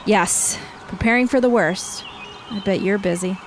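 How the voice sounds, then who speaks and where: Woman acting commanding female leader, steady, matter-of-fact, radio drama, noisy ambience. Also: mid-range voice, measured pace, natural voice. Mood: firm. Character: steady, commanding woman leading her neighbors. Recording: clear street ambience noise.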